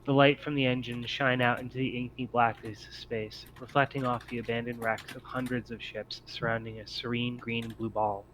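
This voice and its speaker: A man's voice: mid-range voice, level tone, moderate pace, hardly any pauses, a bit bright, poor audio. Man narrating over noticeable background noise. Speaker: game master. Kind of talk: scripted scene-setting narration for a tabletop game.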